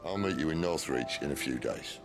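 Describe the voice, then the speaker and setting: Game casting: a teasing rebel leader, very low gravelly voice, lively delivery over music. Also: male voice, brisk. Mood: wry banter. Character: gruff, wry male rebel leader, teasing and easygoing. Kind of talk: video game drama acting. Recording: music under the dialogue.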